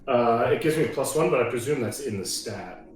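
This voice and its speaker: A man's voice: medium pitch, lively intonation, moderate pace, heavy reverb. A man chatting casually as a player in a tabletop game.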